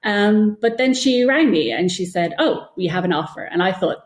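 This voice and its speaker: A woman's voice: medium pitch, expressive, normal speed. A woman answering as an interview guest, conversational and self-deprecating, telling anecdotes and acting out what people said. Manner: self-deprecating, anecdotal, acts out reported remarks. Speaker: guest author and former TV producer. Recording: roomy, echoey sound.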